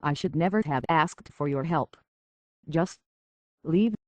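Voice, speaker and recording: An actress playing an earnest, troubled young woman searching for answers in a video game, speaking in a halting, hushed way. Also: deep voice, no echo. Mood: bitter, hurt.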